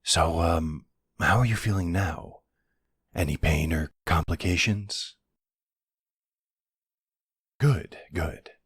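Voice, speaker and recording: Casting: male actor as supportive boyfriend for intimate roleplay audio; low, very husky voice, halting and gently questioning. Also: no echo, clean studio sound, heavily acted. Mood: gentle concern. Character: supportive, puzzled boyfriend of a werewolf, adult man.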